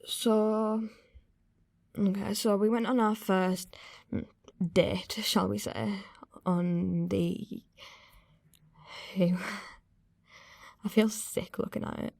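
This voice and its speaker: A young woman playing a young woman uneasily recalling her past in a halting, candid radio-play monologue. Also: medium pitch, steady tone, creaky, a little mellow, no room sound, clean studio sound, full character voice. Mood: uneasy, reflective. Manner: hesitant, halting, candid, swearing. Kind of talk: naturalistic confessional monologue.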